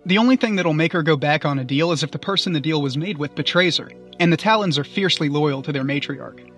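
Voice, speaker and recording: A man's voice: fairly high pitch, fast, a bit bright, soft background music. A man giving scripted review commentary as a guest.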